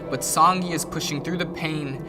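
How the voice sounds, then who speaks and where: A woman's voice: low voice, fairly even intonation, normal speed. Woman reciting slam poetry over music. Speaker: slam poet. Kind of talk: performed spoken-word poetry. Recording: music clearly behind the voice.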